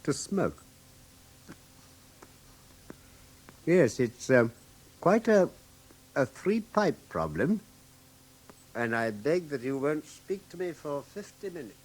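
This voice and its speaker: An actor playing a brilliant, commanding Victorian gentleman detective with an English accent, precise and theatrical in a classic radio drama. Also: fairly high pitch, big pitch swings, measured pace, husky, heavily acted, rough recording. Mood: musing.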